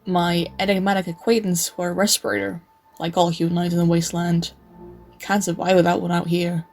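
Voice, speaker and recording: A woman plays a world-weary wasteland tour guide, a sardonic man narrating his own story in a comic dub. The voice is fairly low, dry and brooding, with some music underneath. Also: flat delivery, a little fast, darkish tone. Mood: dry, reflective. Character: weary, sarcastic male tour guide surviving nuclear wasteland.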